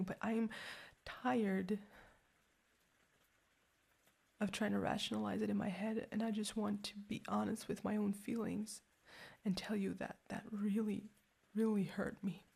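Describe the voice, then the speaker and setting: A woman's voice: medium pitch, flat delivery, fairly quick, vocal fry, dark tone, breathy edge. A young woman playing a protective girlfriend who is furious and hurt, mostly whispering with stumbling, intense outbursts in an ASMR roleplay. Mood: hurt, loving.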